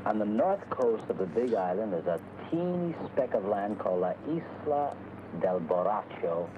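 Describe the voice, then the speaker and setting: A man's voice: fairly low pitch, big pitch swings, normal speed, dull audio, noisy background. Wry man on an old film soundtrack. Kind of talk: 1960s film dialogue and voice-over narration.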